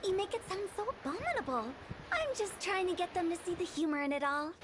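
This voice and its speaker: A woman's voice: very high voice, very animated, fast, slight background noise. A woman voicing a playful, morbidly cheerful young female funeral parlor director in a video game, eloquent and theatrical. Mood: teasing, brisk. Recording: game audio with loud background music.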